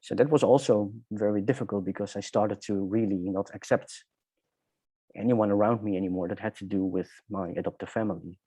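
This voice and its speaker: A middle-aged man from the Netherlands with a Dutch accent, telling his personal story reflectively and haltingly in conversation, searching for words. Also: on the low side, steady tone, mellow, breathy edge, no room sound. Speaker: interview guest.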